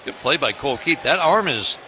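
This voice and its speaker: A man's voice: fairly high pitch, lively intonation, fairly quick. A man with an American accent doing live radio play-by-play commentary of a baseball game. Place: stadium broadcast booth. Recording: clear outdoor ballpark ambience noise.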